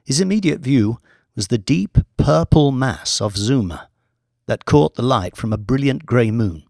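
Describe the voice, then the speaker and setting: Guy narrating an audiobook, measured and deliberate, gravelly creak in his voice, dry close sound. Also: mid-range voice, animated, a little mellow, no echo. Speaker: audiobook narrator, author reading own book.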